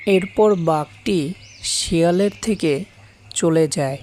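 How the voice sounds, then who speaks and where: A woman's voice: on the low side, takes it slowly, a little mellow, slight background noise. A woman narrating a scripted children's fable as the storyteller.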